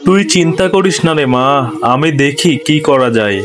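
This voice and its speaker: A man voicing a gentle, worried widowed village father in a children's cartoon, earnest and soothing. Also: on the high side, animated, normal speed, lightly acted. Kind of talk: children's moral cartoon acting. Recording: faint music behind the voice.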